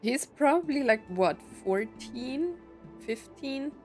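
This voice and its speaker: Slow-talking woman reacting to a game. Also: high voice, lively intonation, a little mellow, soft background music. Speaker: gaming video host. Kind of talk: casual playthrough reactions.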